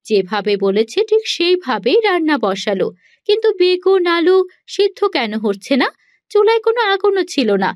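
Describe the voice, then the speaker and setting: Very high-pitched woman narrating a story. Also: big pitch swings, normal speed, no echo, studio quality.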